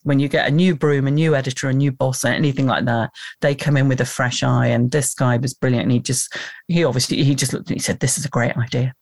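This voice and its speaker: A woman, a documentary producer and presenter, telling animated stories in an interview and laughing often. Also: extremely low pitch, fast, gravelly, no echo, studio quality. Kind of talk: conversational interview storytelling.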